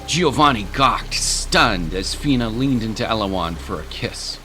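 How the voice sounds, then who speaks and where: Low-voiced male story narrator over music. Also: expressive, a little slow, pushed and bright. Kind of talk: dramatic scripted story narration. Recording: music and rain sounds behind.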